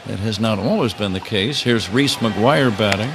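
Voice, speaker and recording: A man calling baseball play-by-play live on the radio, slipping wry, casual banter between pitch calls. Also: normal speed. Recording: clear ballpark noise behind. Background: outdoor stadium ambience.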